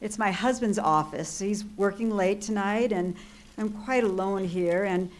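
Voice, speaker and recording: A woman acting an anxious, lonely wife in an old-time radio thriller. She complains fretfully and hesitates, in a medium-pitched voice. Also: measured pace, natural voice. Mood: fretful, uneasy. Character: anxious, lonely, fretful married woman making a phone call. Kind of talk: 1940s-style live radio drama acting.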